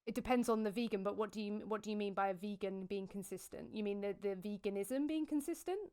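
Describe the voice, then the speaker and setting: A British woman hosting an online debate call, asking calm, probing questions. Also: medium pitch, fast, clean tone. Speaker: vegan debate host.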